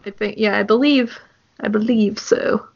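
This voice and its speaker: A woman's voice: medium pitch, steady tone, normal speed, gravelly. A woman chatting casually on a podcast. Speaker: podcast speaker. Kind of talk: casual chat. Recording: dull lo-fi audio, loud noise, clicks.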